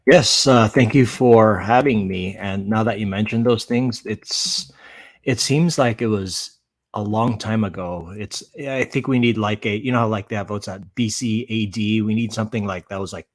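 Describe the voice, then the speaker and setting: A man's voice: fairly low pitch, moderate pace, no room sound. A middle-aged man with an American accent, an interview guest telling his story in a casual, rambling, wry way. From San Francisco Bay Area, USA.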